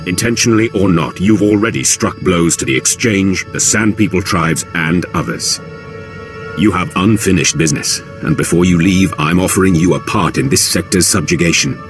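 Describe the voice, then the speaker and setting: A man playing a smooth, ambitious Imperial governor in a video game, with a courtly, speechmaking manner. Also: low voice, moderate pace, lightly acted. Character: smooth, ambitious Imperial Moff overseeing a desert sector. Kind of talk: video game character acting. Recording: dramatic music behind.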